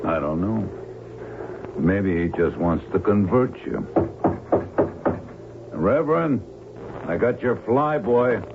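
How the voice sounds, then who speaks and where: An actor playing a gruff, surly, muscle-bound henchman with a gravelly voice in an old-time radio drama, talking tersely. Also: lowish voice, expressive, slow, long pauses. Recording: muffled, noisy old radio recording.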